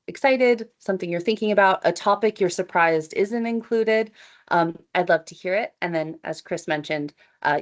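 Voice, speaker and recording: A woman, presenting at an online meeting in a chatty, upbeat, inviting way over a computer mic. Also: fairly low pitch. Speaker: event organizer presenting. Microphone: computer mic on video call.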